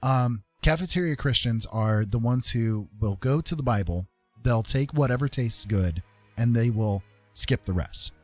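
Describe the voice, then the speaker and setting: A man with a medium-pitched voice hosts a casual livestream with music behind him. He speaks in an opinionated, conversational manner, leaning on key points and regularly checking that the listener follows. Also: a little slow, no echo. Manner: emphatic, conversational, often checking with "right?" and "okay?". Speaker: livestream host.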